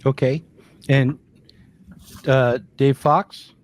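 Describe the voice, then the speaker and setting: A man hosting an interview, low-key, asking short questions. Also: steady tone, extremely slow. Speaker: host.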